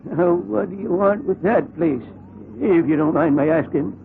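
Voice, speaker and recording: High-voiced, nosy country cabbie. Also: male voice, normal speed, gravelly. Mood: curious, folksy. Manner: folksy, inquisitive. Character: nosy, folksy small-town male taxi driver. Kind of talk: old radio drama acting. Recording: dull old radio, noisy car.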